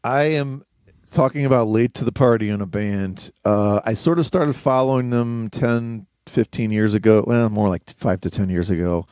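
A man co-hosting a podcast, giving casual album commentary in a halting but enthusiastic manner. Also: lowish voice, darkish tone, no echo, studio quality. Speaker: podcast co-host.

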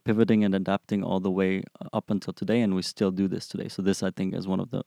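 A man's voice: sits low, flat delivery, quick pace, vocal fry, dark tone, dry recording, studio quality. A man in his twenties from Germany, answering interview questions conversationally as a guest. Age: 20s. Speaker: interview guest, startup employee.

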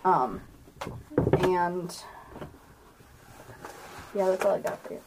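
A woman talking casually as game master of a tabletop role-playing game, in an animated, playful way. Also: fairly low pitch, takes it slowly. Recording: noisy home podcast recording.

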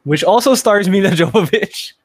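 Male co-host, mid-pitched with very animated intonation, casual, recorded dry and close. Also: normal speed, dry recording. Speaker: podcast co-host. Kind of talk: casual chat, short reactions.

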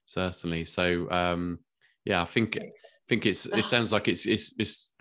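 A man's voice: low voice, fairly even intonation, measured pace, bright, forceful tone. A man hosting a podcast interview, asking questions in a hesitant, supportive way with many repeated words. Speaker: podcast host.

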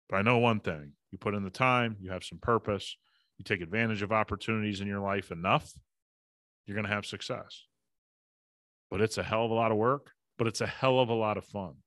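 Blunt middle-aged man, low dry voice. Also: a bit bright, no room sound. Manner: blunt, emphatic, peppered with "man". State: feverish. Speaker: podcast co-host. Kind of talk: casual motivational talk.

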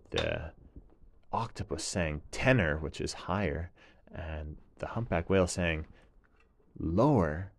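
A man narrating a children's bedtime story in a gentle, drawn-out way with repeated phrases. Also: low voice, creaky, slightly rough.

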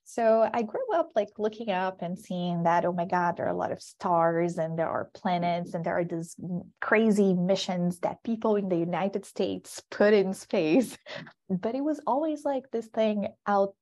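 A woman's voice: medium pitch, animated, moderate pace, warm tone. A woman from Brazil, a guest professor answering interview questions in a chatty way. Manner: chatty, says "like" a lot, laughs easily. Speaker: guest, aerospace engineering professor.

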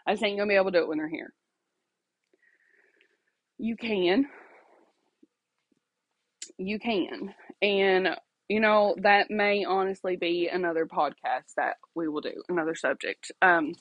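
A woman's voice: mid-range voice, unhurried, pauses often, no room sound, dull audio. A woman, hosting a casual faith podcast from home, chatty and apologetic, laughing often and asking "okay?", her voice stuffy and sniffly. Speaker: podcast host. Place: at home alone.

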